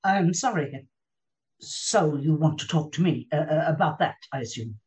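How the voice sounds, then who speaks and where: A woman playing a guarded, troubled agency chief held captive, speaking carefully and with measured hesitation in a radio drama. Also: deep voice, animated, a little mellow. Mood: cautious, concerned. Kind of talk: read radio drama dialogue.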